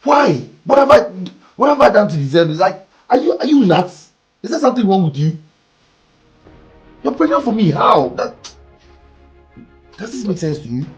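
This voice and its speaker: A man voices an exasperated young man whose voice climbs into incredulous shouting. It sits in a middling pitch, and a music bed runs behind him. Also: expressive, brisk, husky, highs cut off. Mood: outraged. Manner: shouts in disbelief, then hushed and pleading. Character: defensive, hot-tempered young man in trouble. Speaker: young man confronted by his partner.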